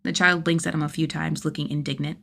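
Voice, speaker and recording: A woman reading scripted fiction as an audiobook-style narrator, with expressive delivery. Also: sits low, fast, creaky. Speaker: podfic narrator.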